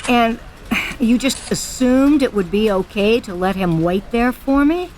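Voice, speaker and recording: A woman as a curt, irritable store boss, highly animated. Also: unhurried, slight background noise. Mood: curt, annoyed. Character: bossy, wary female store owner, curt and irritable. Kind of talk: audio drama acting.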